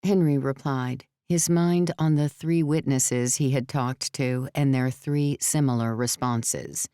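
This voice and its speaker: Very low, smooth-voiced woman narrator. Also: fairly even intonation, slow, warm tone, no room sound, studio quality. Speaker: audiobook narrator. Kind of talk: scripted novel narration.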